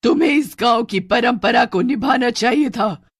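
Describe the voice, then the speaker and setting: A hungry village witch from a horror storybook, menacing and gloating. She pitches high and loud, stretching out her threats between cackles, in a dry close recording. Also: normal speed, breathy edge, no echo.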